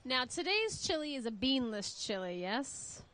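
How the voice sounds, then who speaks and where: Woman co-host, live cooking show, playful and teasing, high and very lively pitch. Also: measured pace. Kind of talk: casual chat. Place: studio kitchen.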